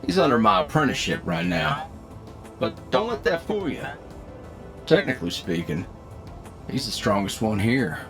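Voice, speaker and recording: A man's voice: mid-range voice, sing-song, normal speed, pauses often, music in the background, lightly acted. A man playing a cocky, seasoned demonic hunter who teaches an apprentice in a folksy drawl, in an audio roleplay.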